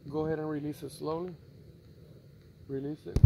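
A man's voice: a little high, normal speed, vocal fry. A man, a mechanic, casually narrating repair work step by step while filming on a phone outdoors in a work yard. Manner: relaxed, explains step by step. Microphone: phone camera. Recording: outdoor noise behind voice.

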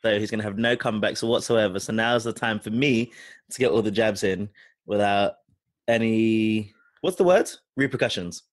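A man's voice: fairly low pitch, normal speed, no echo. A man co-hosting a podcast, teasing his friend in casual chat. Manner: teasing, chatty. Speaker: podcast co-host.

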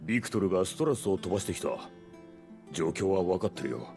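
An actor playing a dependable middle-aged hideout steward in a video game, gruff, gravelly and plain-spoken. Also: male voice, low-pitched, expressive, fast, darkish tone, faint music behind. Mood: concerned, dry. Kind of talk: Japanese video game cutscene acting.

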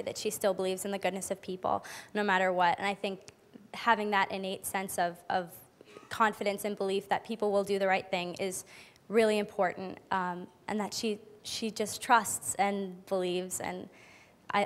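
A woman in her twenties giving an earnest, halting answer into a handheld microphone on stage. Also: medium pitch. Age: 20s. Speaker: actress answering on a panel. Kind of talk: thoughtful spoken answer. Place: auditorium stage.

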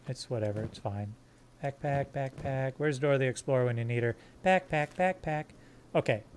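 A man streaming a game live, joking crudely with his chat in a fairly monotone voice while sorting his squad's gear. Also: mid-range voice, slight background noise. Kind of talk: live gameplay commentary.

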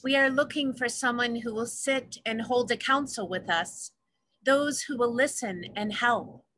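A woman as an earnest, bright-voiced orca leader. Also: a little high, measured pace, slight background noise, poor audio. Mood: solemn. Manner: solemn, pleading, declamatory. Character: Ice Traveler, female orca, earnest hopeful leader. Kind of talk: dramatic play reading. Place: home room, video call.

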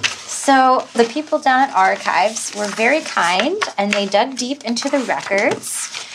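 A woman's voice: fairly high pitch, animated, a little slow, background noise. A woman playing a polite, earnest researcher in an audio drama, speaking carefully and a little apologetically. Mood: earnest, explaining. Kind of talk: naturalistic audio drama acting.

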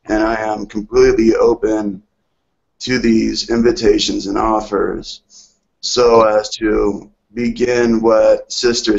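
A man's voice: fairly low pitch, creaky, darkish tone, dull audio. A man calling in to speak earnestly and hesitantly about his spiritual experiences. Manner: hesitant, earnest, drawn-out phrasing. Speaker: caller. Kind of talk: slow, reverent spiritual talk.

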